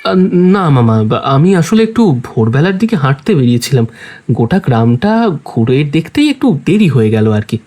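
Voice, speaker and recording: A man's voice: mid-range voice, big pitch swings, moderate pace, warm tone. An actor playing a cheerful young man who is teasing yet shy, in an audio drama. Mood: sheepish. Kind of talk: Bengali audio story acting.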